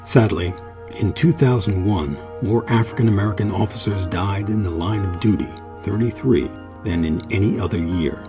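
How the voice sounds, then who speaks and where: A man hosting and narrating a scripted history documentary in a measured, solemn manner. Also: on the low side, over music. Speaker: host and narrator.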